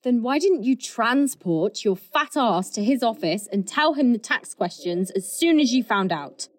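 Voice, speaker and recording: A woman's voice: a little high, expressive, unhurried, no echo. A woman a foul-mouthed political staffer in a comedy drama. She is scornful and impatient, with biting sarcasm, recorded very dry. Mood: scathing. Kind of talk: fast comedy audio drama dialogue.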